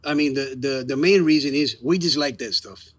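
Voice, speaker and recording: Male playwright, mid-pitched, informal and emphatic, pitching a show conversationally. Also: moderate pace. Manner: informal, emphatic, lightly profane. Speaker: playwright and theater maker promoting a play. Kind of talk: casual promotional chat. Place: outdoors in the evening.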